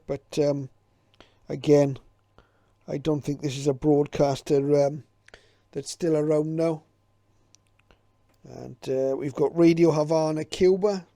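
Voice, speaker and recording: A man with a soft, relaxed tone gives an unscripted, informative commentary. His delivery stays very even and a little hesitant, as if thinking things over. The sound is close and dry. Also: a little high, dry recording. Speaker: hobbyist presenter. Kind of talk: casual unscripted commentary while leafing through a guide.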